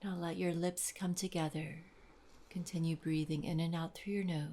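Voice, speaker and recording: A woman leading a guided meditation in a soft, soothing, breathy voice with gentle pauses. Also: fairly low pitch, level tone, slight background noise. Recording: voice over clear wave sounds. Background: ocean waves.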